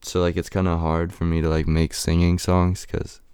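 A young man rapper answering interview questions in a raspy, deep voice, halting with many fillers. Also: monotone, a little mellow, dry recording. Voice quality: raspy and deep right now.

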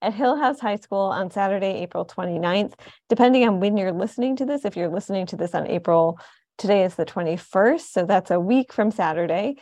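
A woman's voice: medium pitch, brisk, warm tone, no echo. A woman with an American accent casually hosting an interview in a conversational, enthusiastic way. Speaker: radio show host.

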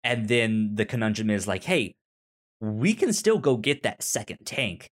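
A man's voice: fairly low pitch, sing-song, a little slow. A young man co-hosting a casual movie discussion, stammering and often repeating sounds and words as he talks. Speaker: podcast co-host.

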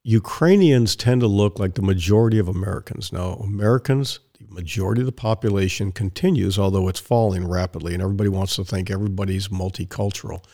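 Hoarse raspy man hosting a podcast. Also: low voice, quick pace, few pauses, warm tone. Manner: rambling and digressive, with repeated words and restarts. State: hoarse from strained vocal cords. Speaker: podcast host. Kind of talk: solo podcast monologue.

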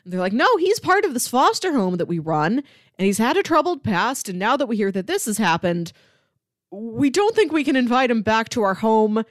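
Animated woman chatting casually, dry close sound. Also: on the high side, normal speed, no room sound. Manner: animated, acts out characters' lines. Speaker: podcast co-host. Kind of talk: casual film discussion.

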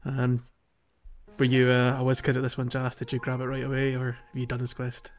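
A man's voice: mid-range voice, completely flat delivery, fairly quick. A man hosting a podcast, talking casually and chattily about a game in informal language. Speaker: podcast host.

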